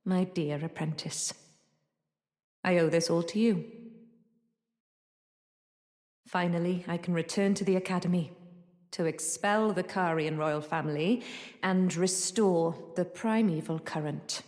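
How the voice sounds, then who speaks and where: A woman playing a proud, ambitious sorceress teacher in a video game, speaking fondly to an apprentice in measured, formal, archaic phrases. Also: lowish voice, steady tone, darkish tone, natural voice. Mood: pleased, proud. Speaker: video game character voice actor. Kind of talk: scripted game dialogue. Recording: echoey hall-like reverb.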